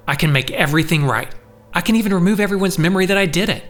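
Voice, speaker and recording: A man's voice: high-pitched, racing, fairly bright tone. An actor playing a jealous, brooding man with uncanny powers in an audio drama, speaking in a tense, defensive way. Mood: frustrated, defiant.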